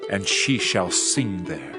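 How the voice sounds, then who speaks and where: A man narrating dramatized scripture in a solemn, measured, declamatory manner. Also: sits low, very animated. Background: music.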